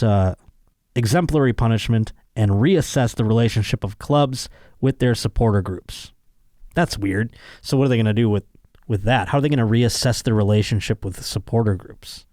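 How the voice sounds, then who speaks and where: A man's voice: mid-range voice, darkish tone, dry recording, clean studio sound. Sardonic American man riffing on news. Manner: sardonic, profane. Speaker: podcast host. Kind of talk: casual comedic news commentary, reading aloud and riffing.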